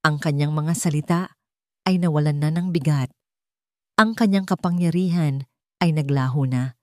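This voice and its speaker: A woman narrator reads dramatic fiction in a measured storytelling manner. Her pitch sits a little low, and the recording is dry with hardly any room sound. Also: no echo.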